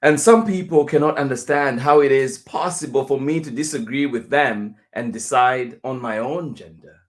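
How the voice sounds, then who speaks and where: A man reading a children's picture book aloud as a teacher would, deliberately, with pauses between phrases. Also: mid-range voice.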